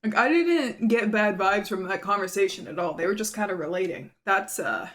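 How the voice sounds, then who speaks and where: A woman's voice: mid-range voice, lively intonation, moderate pace, fairly bright tone. A woman hosting a reaction video with casual, playful, slangy commentary.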